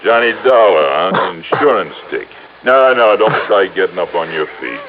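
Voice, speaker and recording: An actor playing a big, cocky, vengeful gangster in an old-time radio crime drama, with gruff tough-guy slang. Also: low voice, big pitch swings, normal speed, narrow, tinny audio. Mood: barking orders. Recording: noisy, thin old radio recording.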